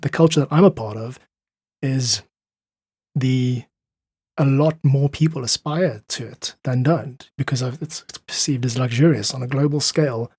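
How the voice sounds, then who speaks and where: Husky South African man in earnest conversation. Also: mid-range voice, normal speed, a little mellow, no room sound. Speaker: podcast guest, independent musician. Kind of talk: reflective interview conversation.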